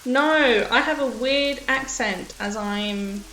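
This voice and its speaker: A woman streamer from the UK, raised partly in Australia, chats with viewers in a nervous, rambling way. Her voice sits a little high. The room is roomy, and a faint noise runs underneath. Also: slow, sharp tone. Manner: nervous, rambling, self-conscious. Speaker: game streamer. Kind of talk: casual chat with viewers while playing.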